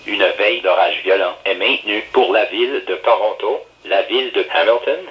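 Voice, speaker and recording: A man's voice: lowish voice, fairly quick, highs cut off. A synthetic man's voice formally reading an automated, scripted weather bulletin as a radio announcer.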